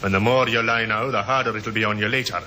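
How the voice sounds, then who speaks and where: A man's voice: fairly even intonation, fast talker, bright, forceful tone. A man playing an insistent, no-nonsense police sergeant in a 1950s radio drama, stern and impatient. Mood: pressing. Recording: old radio recording with noise, rumble.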